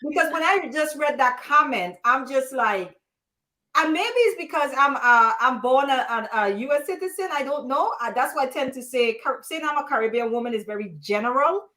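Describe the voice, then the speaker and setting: A woman from the U.S. Virgin Islands hosting a live panel, telling stories in an animated, emphatic way. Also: high voice, normal speed. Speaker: host.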